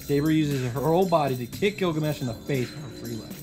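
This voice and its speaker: A man's voice: fairly high pitch, fast. Man reading story narration over music. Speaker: host of visual novel highlights. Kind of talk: dramatic reading of translated narration with commentary.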